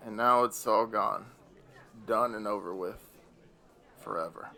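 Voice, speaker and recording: A man's voice: fairly low pitch, unhurried, creaky, bright, forceful tone, exaggerated voice. A man playing a bitter, weary old Devil in a radio play, grumbling in a theatrical, sardonic way. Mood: resigned.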